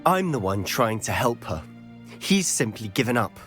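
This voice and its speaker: A man's voice: mid-range voice, sing-song, moderate pace, a bit bright, faint music behind. An actor playing a determined young wizard who speaks earnestly about saving his sister. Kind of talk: video game cutscene acting.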